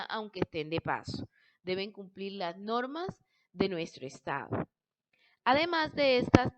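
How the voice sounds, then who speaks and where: Colombian woman as a patient lecturer, slow delivery, smooth clear voice. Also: medium pitch, muffled recording. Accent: Colombian Spanish. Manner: explains slowly with personal examples and checks with ¿sí?, ¿listo?. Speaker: teacher. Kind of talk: recorded class lecture.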